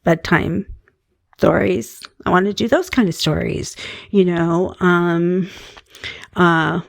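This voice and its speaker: Low, slow, gravelly-voiced woman. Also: no echo, clean studio sound. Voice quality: calming voice. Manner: halting, many fillers and restarts. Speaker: meditation channel host. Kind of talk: casual personal monologue.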